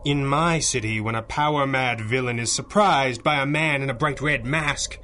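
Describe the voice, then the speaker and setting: An actor playing a confident masked hero who speaks in a cool, wry, mocking way in a 1930s-style radio drama. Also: male voice, mid-range voice, normal speed, bright, forceful tone, soft background music, natural voice.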